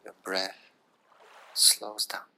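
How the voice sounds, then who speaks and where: A man leads a guided relaxation, speaking very slowly in a hushed, soothing way. His low voice has a strongly crisp, bright edge. He leaves long gaps between short instructions, with clear watery ambient noise behind him. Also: poor audio. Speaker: yoga nidra guide.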